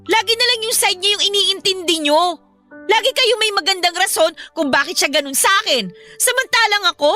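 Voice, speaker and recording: A woman plays a sulky younger sister in a Filipino audio drama. The voice is very high and bright with a Filipino accent, wounded and defiant, over soft music. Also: animated, a little fast, heavily acted. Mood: defiant, upset. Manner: aggrieved, sulky, defensive.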